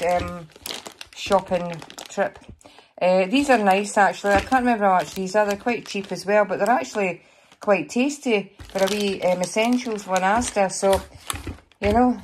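Chatty Scottish woman, slightly hoarse. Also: mid-range voice, darkish tone, a little hiss or hum. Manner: chatty, rambling, with fillers and small laughs. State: slightly hoarse, losing her voice. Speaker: vlogger showing a grocery haul. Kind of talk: casual chat to camera. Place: kitchen counter at home, indoors.

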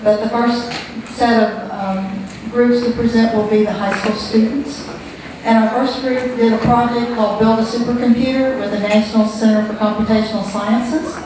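A woman's voice: a little high, steady tone, normal speed. A middle-aged woman hosting an event and introducing presentations. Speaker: event host. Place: large auditorium. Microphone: handheld microphone. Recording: echoey auditorium, noisy, distant sound.

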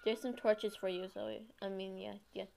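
A woman chatting casually while playing a game, excitable and given to exclaiming. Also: medium pitch, normal speed, gravelly. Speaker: gamer. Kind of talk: casual gameplay chat.

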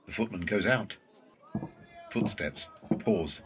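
A man's voice: deep voice, takes it slowly. A man narrating an audio drama, reading out scripted stage directions. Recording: distant mic, faint ambience.

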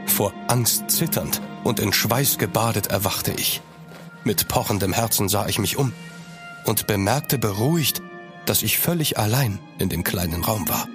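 A man playing an uneasy gentleman traveller, narrating a horror audio drama in a measured, suspenseful way in German. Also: on the low side, over music, close to an everyday voice.

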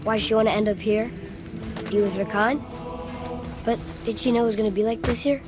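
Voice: mid-range voice, fairly even intonation, brisk, lightly acted. A young performer playing an earnest, curious young boy, the son of a warrior, in a video game, talking casually and full of questions. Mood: puzzled, searching. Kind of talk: dramatic video game acting. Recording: loud music behind the voice.

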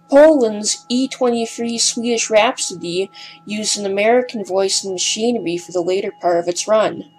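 A woman's voice: mid-range voice, a little mellow, rough recording. A woman narrating a scripted amateur documentary, her delivery a bit hesitant and breathless at times.

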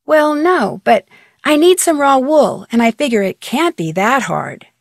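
A curious young detective for a video game, confident and earnest. Her voice is fairly high and very lively in pitch, with some creak and a dry, close sound. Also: measured pace, no room sound, exaggerated voice. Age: young adult. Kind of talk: video game character dialogue.

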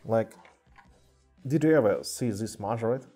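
A man with a Russian accent casually chatting on a live stream in halting English, with many fillers. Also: lowish voice, dark tone, husky, faint music behind. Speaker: streamer showing toy car collection. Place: indoor room with cluttered table. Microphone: webcam mic.

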